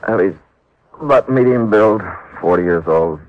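A wounded male thief played by an actor in an old radio drama. His voice is low and halting as he cooperatively confesses. The sound is dry and muffled. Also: lively intonation, no echo, lightly acted. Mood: weak, cooperative. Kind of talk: 1950s radio drama acting.

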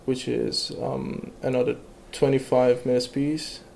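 Gravelly-voiced Iranian-born man, halting. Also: medium pitch, little pitch movement, dark tone, slight background noise. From Iran. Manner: halting, with many fillers and restarts. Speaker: guest, electronic music producer. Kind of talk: casual interview conversation.

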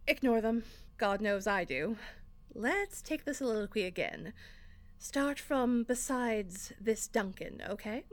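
Woman playing an exasperated, animated theater director. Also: a little high, unhurried. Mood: firm, encouraging. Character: exasperated, sardonic woman theater director, bossy but encouraging. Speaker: actor in an audio fiction podcast. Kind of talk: acted audio drama dialogue.

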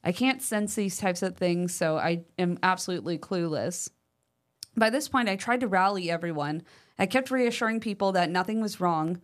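A woman co-hosting a paranormal podcast, chatting casually and reading a listener's letter aloud with lively emphasis, using fillers like "like" and "um". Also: lowish voice, normal speed. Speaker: podcast co-host.

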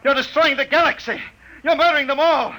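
A man's voice: very high voice, steady tone, a little fast, rough recording. An actor playing a desperate, imprisoned male scientist in a 1950s radio drama. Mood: furious. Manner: shouting in fury, later quiet and despairing. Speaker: lead actor.

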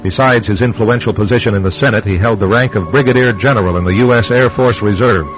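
A man gives dramatic, scripted radio narration in a low voice. He is grave and measured. The sound is muffled old radio, with music behind him.

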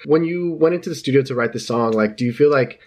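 A man's voice: medium pitch, fast, a little mellow. Chatty guy hosting an interview, upbeat, long rambly questions, mid voice.

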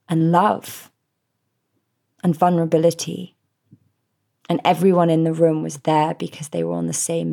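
Woman, 30s, podcast host, mellow calm voice with some gravel. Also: lowish voice. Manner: gentle, soothing, heartfelt. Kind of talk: reflective solo podcast address.

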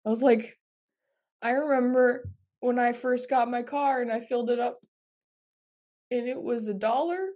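A woman's voice: fairly high pitch, moderate pace, pauses often, warm tone. Chatty woman, casual laughing banter. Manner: laughing, joking, loose and chatty. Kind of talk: casual chat.

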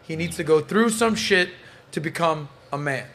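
A man's voice: fairly high pitch, moderate pace, a bit bright. A man hosting a casual panel podcast, giving blunt opinions and swearing freely. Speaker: podcast host.

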